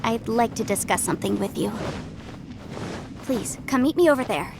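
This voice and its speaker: A composed young heroine, with a fairly high voice and formal, careful phrasing. She sounds polite and analytical, like an anime game adventurer, with music playing behind her. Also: expressive, creaky, noisy background. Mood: earnest, serious. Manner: formal, measured, deliberate phrasing.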